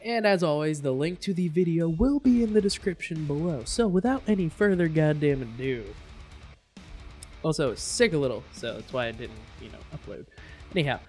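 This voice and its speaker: A man's voice: fairly high pitch, normal speed, a little mellow, soft background music. A man hosting a reaction video, talking in a casual, rambling way with an irreverent, profane, jokey manner.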